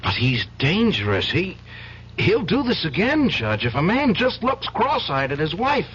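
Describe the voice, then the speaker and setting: A troubled young defense lawyer in a vintage radio drama. His pitch jumps around widely as he talks, intense and halting, full of indignation. The sound is muffled, with faint noise. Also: huge pitch swings, fairly bright tone, heavily acted. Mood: agitated. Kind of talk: old-time radio drama acting. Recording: dull, faintly noisy old radio recording.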